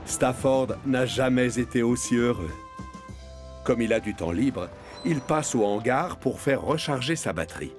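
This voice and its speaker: A man's voice: mid-range voice, lively intonation, measured pace, music in the background. A man narrating a children's cartoon as a scripted storyteller.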